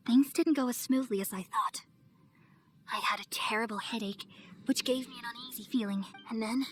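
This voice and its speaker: A woman playing a serene, wise and motherly goddess in an anime-style video game, speaking gently and solemnly. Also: fairly high pitch, close to an everyday voice. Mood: reflective, solemn. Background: music.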